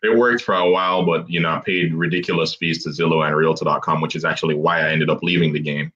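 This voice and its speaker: A young man guest telling his story in a relaxed interview, with halting restarts and frequent laughter. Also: extremely low pitch. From abroad, grew up outside the US. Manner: laughs often, self-deprecating, halting restarts. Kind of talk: casual interview chat. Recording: dull lo-fi online call audio.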